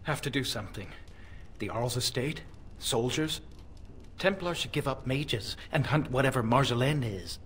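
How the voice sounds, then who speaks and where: A man voices a renegade elf mage for a fantasy game cutscene, over background ambience. He is sardonic and bitter, with unease showing. His pitch moves around a lot from a middling base. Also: expressive, normal speed, a bit bright, a little hiss or hum, natural voice. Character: bitter, sarcastic apostate elf mage, male. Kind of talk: video game cutscene acting.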